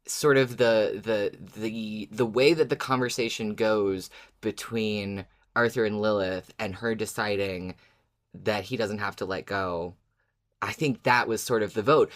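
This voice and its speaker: A podcast co-host talking casually, thinking aloud and hedging while discussing a story episode. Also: medium pitch.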